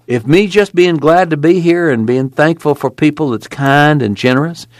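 A man's voice: fairly high pitch, normal speed, no room sound, clean studio sound, pitched above the performer's own voice, lightly acted. A man voicing a humble, nervous former preacher who talks earnestly in folksy dialect.

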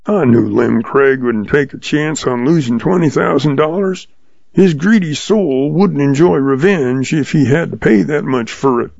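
A man voicing a wily old male cowboy with a calm, slow Western drawl. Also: fairly high pitch, gravelly, dry recording, dull audio. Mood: calm, sly.

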